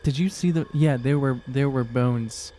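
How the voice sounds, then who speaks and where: A man's voice: mid-range voice, measured pace, warm tone, slight background noise. A man improvising casual in-character chat as a player in a tabletop role-playing game, slangy and conversational.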